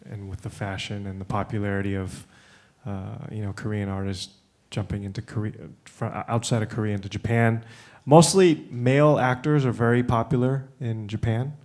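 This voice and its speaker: Low-voiced man on a conference panel. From United States. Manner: relaxed, with many fillers and restarts. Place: conference room.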